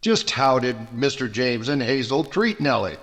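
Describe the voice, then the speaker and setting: A man playing a stern, pointed small-town prosecutor, speaking formally and deliberately in an old-time radio drama. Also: mid-range voice, very animated, fairly bright tone, in a large hall, soft background music. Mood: probing.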